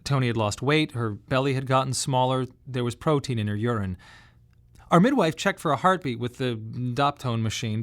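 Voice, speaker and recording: A man delivering scripted dramatic narration in a radio monodrama, reflective and measured, with emphatic pauses. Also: a little high. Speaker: playwright performing his autobiographical monologue.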